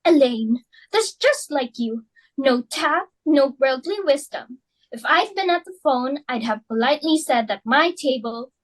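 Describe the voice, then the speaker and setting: A woman's voice: sits high, lively intonation, measured pace, rough recording. A woman playing a domineering, socially ambitious mother and hostess in a dramatic reading of a stage play, with an imperious, melodramatic, scolding manner. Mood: scolding, bossy.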